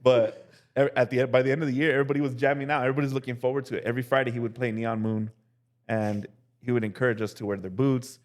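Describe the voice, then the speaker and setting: A young male teacher telling casual stories as a podcast guest, relaxed and full of "like" and "dude". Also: medium pitch, fast talker. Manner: relaxed, heavy use of "like" and "dude". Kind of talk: casual storytelling chat.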